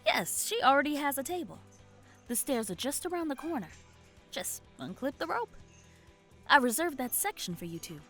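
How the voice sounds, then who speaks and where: A woman playing a high, bright, friendly café server. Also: very animated, normal speed, faint music behind, heavily acted. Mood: welcoming. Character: brisk, friendly mother working a café counter. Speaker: actor in audio drama. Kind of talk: naturalistic audio drama dialogue.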